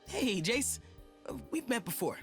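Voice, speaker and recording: A man's voice: extremely high pitch, sing-song, measured pace, fairly bright tone, faint music behind, exaggerated voice. An actor, a man, voicing a cheeky young tinkerer in a game, casual and sardonic. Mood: wry. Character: laid-back, cheeky young male tinkerer.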